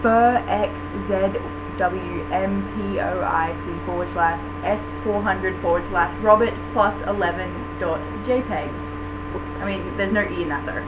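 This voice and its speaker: Young woman, playful, teasing, unhurried, muffled webcam, loud hum. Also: mid-range voice. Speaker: vlogger's friend. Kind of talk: casual chat.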